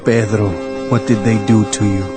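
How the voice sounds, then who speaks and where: An actor playing a concerned, devout villager and loyal friend, speaking earnestly in a radio drama. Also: male voice, lowish voice, flat delivery, normal speed, loud music behind the voice, close to an everyday voice. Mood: worried.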